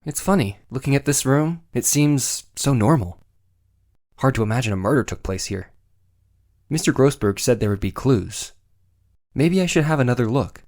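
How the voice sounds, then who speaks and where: Man as an earnest young lawyer, animated pitch. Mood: curious musing. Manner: musing aloud, then pointed and accusatory. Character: earnest, dogged young male defense lawyer. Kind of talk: voiced video game drama.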